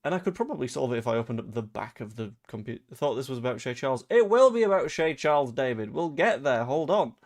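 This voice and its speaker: A young man from Leeds with a softened Yorkshire accent, hosting a casual live stream and chatting through viewer comments with rambling, dry asides. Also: mid-range voice, lively intonation, quick pace, few pauses. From Leeds, England. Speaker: fan channel host.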